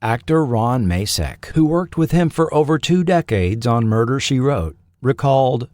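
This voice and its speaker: A man hosting and narrating a scripted documentary in a measured, deliberate way, letting his phrases fall at the ends. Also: medium pitch, expressive, darkish tone, dry recording, clean studio sound. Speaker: podcast host and narrator.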